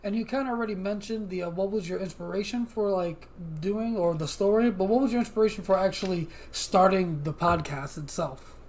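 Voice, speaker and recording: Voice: mid-range voice, fairly quick, few pauses, darkish tone, slight background noise. Podcast host, middle register, casual interviewer asking questions conversationally.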